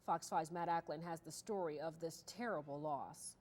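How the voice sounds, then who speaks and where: A woman's voice: low-pitched, moderate pace, a little mellow. An American woman working as a TV news anchor, reading scripted news narration. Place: TV news studio.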